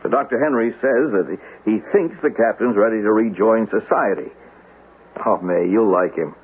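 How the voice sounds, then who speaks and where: An actor playing a loyal, concerned husband and war veteran who reasons calmly in an old-time radio drama. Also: male voice, lowish voice, normal speed, slightly rough. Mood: concerned, reassuring. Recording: tinny, narrow-band radio-drama recording.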